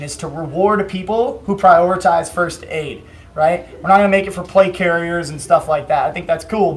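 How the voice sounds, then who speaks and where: A man hosting a casual livestream, chatty and joking, with a hoarse voice today. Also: high voice. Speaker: host of a company livestream. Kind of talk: casual product livestream chat.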